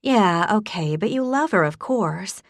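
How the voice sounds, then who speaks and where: A woman voicing an eager young woman who speaks emphatically, pitched above her narration. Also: lively intonation, a little slow, no echo, clean studio sound.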